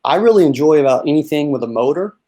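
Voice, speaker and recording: A young man from North Carolina, a strength and conditioning coach answering interview questions in a relaxed way, with plenty of fillers and repeats. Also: on the high side, fast.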